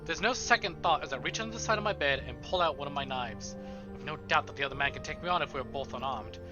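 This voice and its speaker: A man streaming a visual novel, expressive and informal as he chats and reads aloud. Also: on the high side, quick pace. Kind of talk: casual livestream chat and reading visual novel narration aloud. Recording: slightly dull livestream audio. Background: game music.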